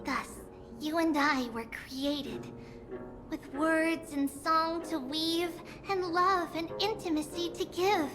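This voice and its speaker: An actress playing a graceful storyteller in a fantasy video game, speaking in a measured, lyrical, theatrical way. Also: female voice, extremely high pitch. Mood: solemn, lyrical. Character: graceful woman storyteller reciting an ancient myth. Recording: game music loud behind the voice.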